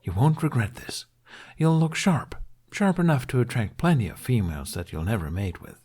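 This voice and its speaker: A man's voice: mid-range voice, lively intonation, normal speed, a little mellow, slightly rough. A man voicing a cheerful male dragon companion in an audiobook, teasing and urging in a smug, eager manner.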